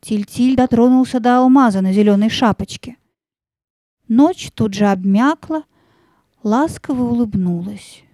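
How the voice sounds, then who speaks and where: A woman narrator tells a scripted fairy tale. Her voice is soft-toned and a little high, in a dry, close recording. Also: unhurried, dry recording.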